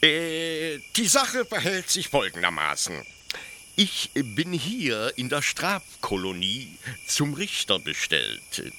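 Man playing a bright, zealous young penal officer. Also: on the high side, wildly swooping pitch, a little hiss or hum, full character voice. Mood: self-assured, zealous. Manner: hesitant pedantic explaining, with stammered pauses. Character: zealous young officer-judge of a penal colony, proud, defensive. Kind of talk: German literary radio play acting.

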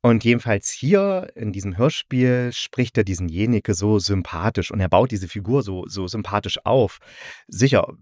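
A man's voice: fairly low pitch, expressive, normal speed, darkish tone, no echo, clean studio sound. A man hosting a podcast, giving a casual, chatty and enthusiastic review in colloquial language. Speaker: podcast host and radio play reviewer.

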